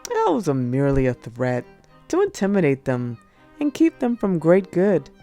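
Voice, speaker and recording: Low, mellow woman reading a religious audiobook. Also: wildly swooping pitch, moderate pace. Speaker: audiobook narrator. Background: music.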